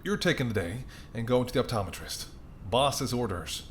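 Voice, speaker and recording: A man acts out a mafia boss in an intimate audio roleplay, with a mid-pitched male voice. He is courteous and apologetic yet dryly sardonic, talking in a conversational way straight to the listener. A clear rumbling noise sits behind the voice. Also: lively intonation, normal speed, close to an everyday voice. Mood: exasperated. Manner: conversational, sardonic, exasperated, softening into polite charm.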